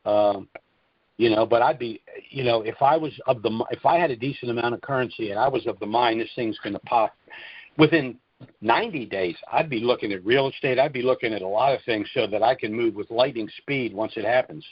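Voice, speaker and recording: A man's voice: mid-range voice, fairly quick, slightly rough, no echo. A man hosting a call-in conference call, giving informal advice and repeating his phrases for emphasis, over a telephone line. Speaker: call host and trust seller advising callers. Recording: dull lo-fi conference phone line.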